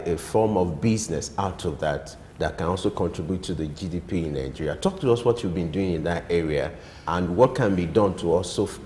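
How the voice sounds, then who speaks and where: A man's voice: low voice, mellow, big-room sound, slight background noise. A man interviewing a guest on TV, asking long, winding questions.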